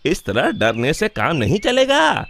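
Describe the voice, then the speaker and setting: A man playing an excitable, plaintive village sweet-seller in a children's cartoon story. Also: a little high, measured pace, vocal fry, heavily acted. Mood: aggrieved. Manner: animated, plaintive.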